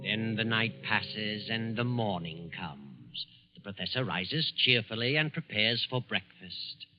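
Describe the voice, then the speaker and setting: A man playing an ominous, deliberate storyteller, narrating a 1940s radio thriller. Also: fairly low pitch, animated. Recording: muffled 1940s radio recording. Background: faint music.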